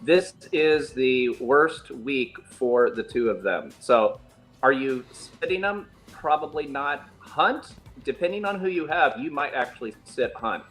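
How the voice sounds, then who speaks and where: A man giving measured, cautionary fantasy football advice as a guest panelist. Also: mid-range voice, lively intonation, a bit bright, faint music behind.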